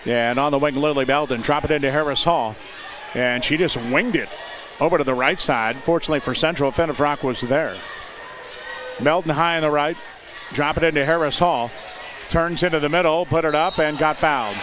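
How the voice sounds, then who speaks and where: An American man doing live play-by-play commentary of high school basketball in clipped, descriptive phrases. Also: moderate pace. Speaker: play-by-play announcer. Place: indoor high school gymnasium. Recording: noisy gym with loud crowd. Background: crowd noise and bouncing basketballs.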